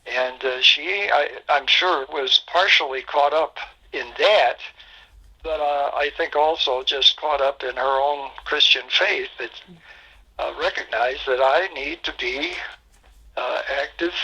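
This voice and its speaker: A pastor in his eighties recalls the past in a halting, reflective way, pausing often on fillers. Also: medium pitch, sharp tone, breathy edge, dull audio. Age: 80s. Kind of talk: oral history storytelling.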